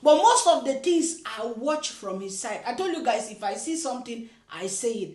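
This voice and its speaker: A woman's voice: on the high side, expressive, normal speed, a little mellow, echoey room. A woman hosting her own channel, giving chatty, emphatic political commentary with frequent laughs and repeated phrases. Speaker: channel host.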